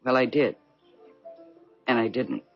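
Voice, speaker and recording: Female secretary, husky and distracted, secretly knowing. Dull vintage radio drama sound. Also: very deep voice, animated, soft background music, full character voice. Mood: uneasy, knowing. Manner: halting, distracted. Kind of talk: 1970s radio drama acting. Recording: dull 1970s radio recording.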